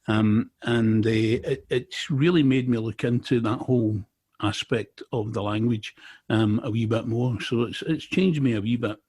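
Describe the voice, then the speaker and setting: A man's voice: mid-range voice, a little slow, darkish tone, breathy edge. A middle-aged man from Glasgow with a Glaswegian Scottish accent, a native Scots speaker, chatting casually as a podcast interviewer. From Glasgow, Scotland.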